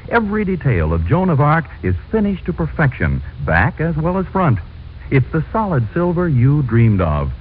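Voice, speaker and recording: Animated 1940s male radio announcer. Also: mid-range voice, phone-quality sound, a little hiss or hum. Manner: polished, theatrical salesmanship. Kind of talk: 1940s radio announcing, scripted sponsor pitch and narration. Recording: thin band-limited old radio broadcast, music behind. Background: music.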